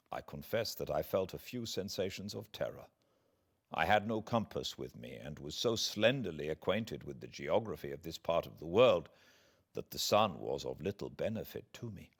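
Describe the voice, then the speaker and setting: A man with a British accent reading classic literature aloud as an audiobook narrator, in a theatrical, deliberate way with dramatic pauses. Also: sits low, a bit bright. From Britain.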